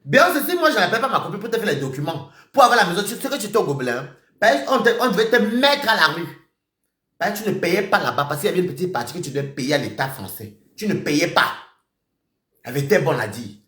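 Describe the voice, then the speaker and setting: A man ranting on a live stream, accusing someone directly. He repeats himself and swings between shouting and muttering. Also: sits high, big pitch swings, quick pace, reverberant. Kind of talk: heated live-stream rant.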